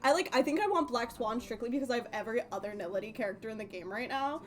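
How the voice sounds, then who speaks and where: A woman's voice: on the high side, expressive, very fast. A woman casually chatting as a livestream host, rambling playfully and often breaking off mid-thought. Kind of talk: casual gaming stream chat.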